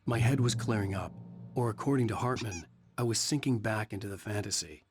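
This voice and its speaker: A man's voice: fairly low pitch, fairly quick, natural voice. An actor playing a troubled, suspicious man who narrates his own story in a video game. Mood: brooding. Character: troubled male narrator, wry, suspicious, brooding. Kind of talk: first-person game narration.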